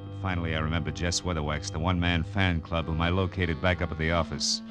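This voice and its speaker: A man's voice: low-pitched, flat delivery, fast, over music, natural voice. A man playing a tough, wry private detective in a terse, dry, hardboiled 1940s radio drama style. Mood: wry narration. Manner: terse, dry, world-weary. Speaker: lead actor and narrator.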